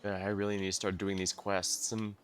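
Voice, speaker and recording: A man's voice: lowish voice, little pitch movement, brisk, a little hiss or hum. A man giving casual livestream commentary as he plays through a story game. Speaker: game streamer. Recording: game music behind the voice. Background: game music.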